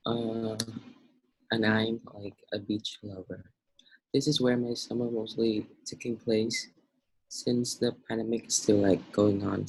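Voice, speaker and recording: A man's voice: lowish voice, gravelly, a little mellow, husky, poor audio. A male student from Vietnam with a Vietnamese accent, giving an informal presentation in an online class.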